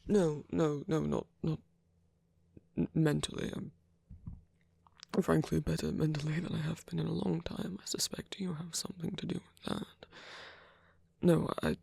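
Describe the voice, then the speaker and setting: A man playing a weary, tender villain laid up in pain, speaking haltingly and softly in an intimate ASMR roleplay. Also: fairly high pitch, little pitch movement, no echo, exaggerated voice. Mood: weary, reassuring. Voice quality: breathy, creaky. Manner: hesitant, halting, soft-spoken.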